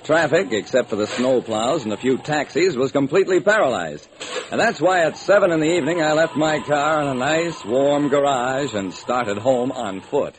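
Actor as a wry private detective, swooping delivery. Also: male voice, medium pitch, animated, natural voice. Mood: wry storytelling. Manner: dramatic, deliberate, wry. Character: hard-boiled, wry male private detective telling his case. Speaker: narrator and lead detective. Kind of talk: old-time radio detective narration. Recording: noisy old radio recording.

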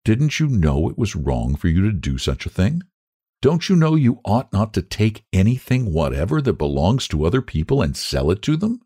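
A man voicing a kindly, patient minister in a storybook, pleasant and gently admonishing. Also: lowish voice, big pitch swings, moderate pace, slightly rough, exaggerated voice. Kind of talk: storybook character voice.

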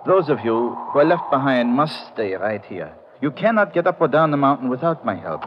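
An actor playing a genial but firm mountain guide with a German accent and formal phrasing, in an old-time radio drama. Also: male voice, mid-range voice, very animated, close to an everyday voice. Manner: authoritative, formal, measured phrasing. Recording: muffled, noisy vintage radio recording.

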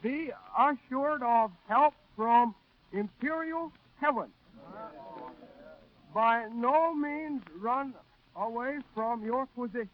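An actor playing a local scout in a 1940s radio drama, speaking broken English and reading slowly and deliberately. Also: male voice, very high voice. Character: local islander scout reading enemy propaganda aloud. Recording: muffled, noisy 1940s radio recording.

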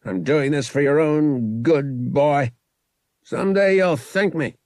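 Harsh, snarling villain captain. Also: male voice, fairly high pitch, slow pace, a little mellow, dry recording, clean studio sound, voice pushed up. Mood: menacing. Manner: menacing, commanding. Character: cruel, snarling male starship captain, a ruthless villain.

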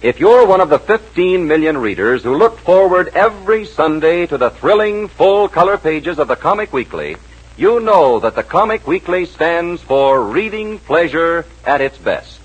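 Male American announcer for vintage radio serial, husky, highish, very animated, emphatic scripted delivery. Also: moderate pace, poor audio. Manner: dramatic, emphatic. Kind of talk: 1940s radio announcing, dramatic scripted narration.

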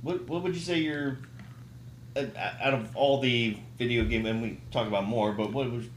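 A man's voice: medium pitch, fairly even intonation, normal speed. A man hosting a casual group chat, putting questions and short remarks to the others. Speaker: podcast host asking questions. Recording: echoey room with faint noise.

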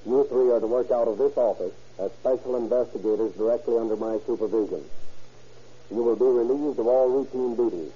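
A muffled vintage radio recording. a stern police chief with a husky, very dark voice, giving formal orders with an air of command. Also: male voice, medium pitch. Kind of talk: 1930s radio acting.

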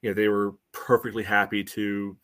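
Bright, low-voiced man talking sports. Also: little pitch movement, normal speed. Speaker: sports podcast co-host. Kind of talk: casual sports analysis chat.

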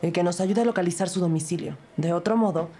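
A woman's voice: fairly low pitch, fast talker, dark tone, slight background noise, close to an everyday voice. An actress playing a composed, firm police detective who narrates her case in a scripted crime audio drama. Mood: urgent, firm. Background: music bed.

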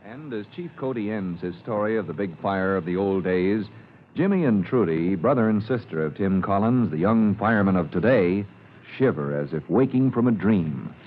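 A man's voice: on the low side, animated, normal speed, a little hiss or hum. A man narrating a scripted serial as an announcer in an old-fashioned radio style. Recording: thin, narrow vintage radio recording.

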